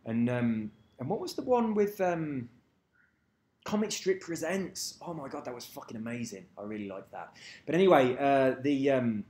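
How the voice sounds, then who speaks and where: A man's voice: medium pitch, animated, normal speed, darkish tone. A man rambling casually to camera, swearing freely and losing track of names. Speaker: vlogger. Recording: echoey room.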